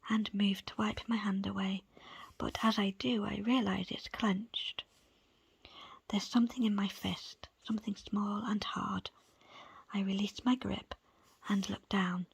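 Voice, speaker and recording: A woman reading a book aloud as ASMR in a soft, breathy, unvoiced whisper, with deliberate pauses between short phrases. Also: medium pitch, fairly even intonation, no echo. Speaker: audiobook reader. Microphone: close, dry microphone.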